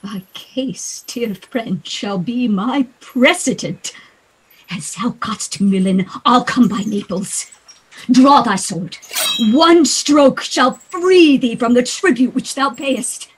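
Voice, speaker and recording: An actress playing an ambitious royal sister tempted into murderous conspiracy, in a theatrical, deliberate Shakespearean radio play style. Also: female voice, fairly high pitch, husky, poor audio. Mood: resolved.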